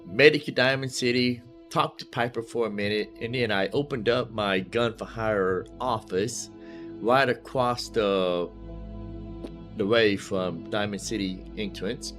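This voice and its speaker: A man's voice: lowish voice, measured pace, a bit bright, soft background music. A man hosting a casual game livestream, rambling in a folksy way and repeating phrases for emphasis. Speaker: live streamer, gaming host.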